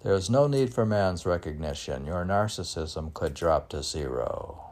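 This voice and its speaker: Older man, deep gravelly slow voice. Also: lively intonation, darkish tone. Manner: halting, with frequent restarts and fillers. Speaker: poet reading his own work. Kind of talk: poetry reading mixed with casual chat.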